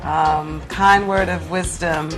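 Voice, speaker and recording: A woman's voice: low voice, steady tone, slow, music in the background, poor audio. A woman speaking conversationally as a studio guest, a drama teacher answering interview questions. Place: small internet show studio.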